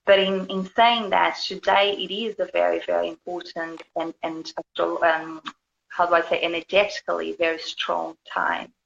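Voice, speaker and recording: A woman from Brazil with a Brazilian accent, a guest talking casually about her healing work, gently searching for words. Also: fairly low pitch, level tone, normal speed, creaky. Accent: Brazilian Portuguese. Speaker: guest, yoga and healing teacher. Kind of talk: casual conversation. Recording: dull lo-fi audio with faint background hiss.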